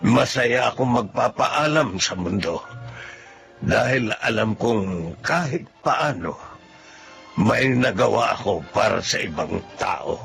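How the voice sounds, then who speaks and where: Kind old filipino lolo in a radio drama, gravelly n gentle, music behind. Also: male voice, lowish voice, animated, slow pace, full character voice. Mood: reflective, heartfelt. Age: older. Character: kindly, humble old benefactor, a grandfatherly father. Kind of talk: Filipino radio drama acting with narrated monologue.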